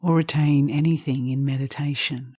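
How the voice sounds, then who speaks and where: A woman leading a guided meditation, speaking gently and softly in unhurried phrases with long pauses, with some breathiness. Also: low voice, steady tone, husky, no room sound, studio quality. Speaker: meditation teacher.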